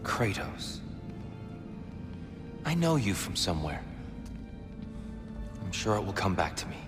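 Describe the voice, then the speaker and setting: A gentle, haunted god freed from captivity in a video game. The voice is low and unhurried, reflective and courteous. Faint music plays underneath. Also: male voice, very animated. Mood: gentle, solemn. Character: gentle, weary freed male prisoner god, thoughtful, haunted. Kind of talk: dramatic video game acting.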